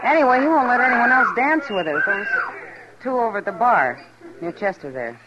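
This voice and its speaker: A woman's voice: a little high, lively intonation, normal speed, background noise, natural voice. An actress playing a worldly, concerned frontier saloon woman in an old-time radio western. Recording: dull old radio recording. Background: music.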